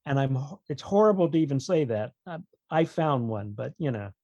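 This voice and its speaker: A man's voice: mid-range voice, expressive, moderate pace, warm tone, no room sound, highs cut off. A man talking casually as an author guest on a podcast, explaining his opinions with wry humour.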